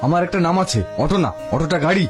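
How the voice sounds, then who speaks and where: An actor playing a gruff but kind young auto-rickshaw driver in a TV drama, his voice sped up and pitched up. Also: lively intonation, very fast, loud music behind the voice. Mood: irritated. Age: young adult. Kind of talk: TV drama acting.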